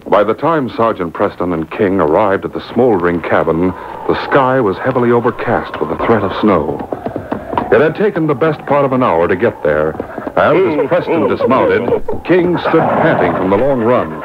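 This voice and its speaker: An actor as the dramatic storyteller of an old-time radio serial, reading scripted narration. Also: male voice, very animated, moderate pace. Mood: scene-setting. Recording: muffled, noisy boombox radio recording.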